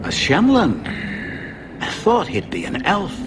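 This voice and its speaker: Hostile male elf guard, deliberate menace, swooping intonation, game audio with noticeable noise. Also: high-pitched, huge pitch swings, heavily acted. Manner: threatening, deliberate. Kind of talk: fantasy video game acting. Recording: distant, noisy game audio.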